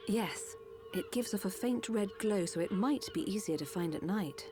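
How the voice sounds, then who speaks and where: An actress playing a calm, precise female Machina doctor explaining a treatment. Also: medium pitch, moderate pace, lightly acted. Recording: game cutscene with music.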